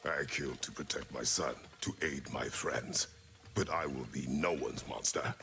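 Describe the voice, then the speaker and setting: Very deep, gravelly warrior god. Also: male voice, normal speed, fairly bright tone, heavily acted. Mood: grave, defiant. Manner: terse, grave, formal phrasing. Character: stern, battle-weary warrior god and father, restrained and solemn. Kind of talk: dramatic video game cutscene acting. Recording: music under the voice.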